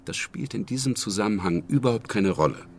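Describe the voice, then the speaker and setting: An actor playing a blunt, sardonic police detective in a dry, argumentative manner in a radio crime drama. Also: male voice, lowish voice, lively intonation, a little fast, slight background noise. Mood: firm, defensive.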